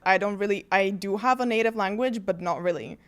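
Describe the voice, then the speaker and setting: A woman's voice: mid-range voice, fairly quick. A woman who is a native Polish and Spanish speaker, explaining things informally as a guest in a casual conversation, often saying "like". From Bulgaria and Czech Republic. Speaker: podcast guest.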